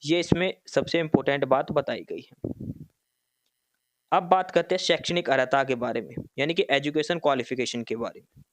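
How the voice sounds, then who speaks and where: A man's voice: medium pitch, normal speed, dry recording. A man presenting an informal explanatory walkthrough for students, instructive and repeating key points. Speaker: presenter explaining a job notification.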